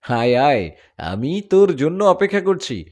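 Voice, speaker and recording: A man's voice: fairly high pitch, very animated, measured pace, a little mellow, pitched above the performer's own voice. A man voicing a vengeful, mocking male jinn villain in a spoken horror story. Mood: taunting. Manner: mocking, threatening.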